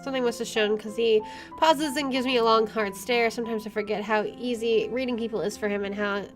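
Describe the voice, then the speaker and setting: A woman's voice: fairly high pitch, fairly even intonation, brisk. A woman playing a game, reading its narration aloud in a casual, reactive way and adding offhand asides. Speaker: let's player. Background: faint game music.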